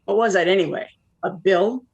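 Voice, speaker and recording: A woman's voice: medium pitch, normal speed, breathy edge, lo-fi sound, close to an everyday voice. A woman acting a blunt, lonely forty-nine-year-old who probes with wry questions in a naturalistic play. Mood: wry, nosy. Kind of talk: naturalistic one-act play dialogue.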